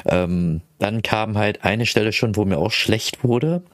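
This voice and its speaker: Male podcast host, low-pitched, dry recording, chatty anecdote teller who plays out the dialogue. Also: measured pace, dry recording. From Philippines. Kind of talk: casual anecdote storytelling in chat.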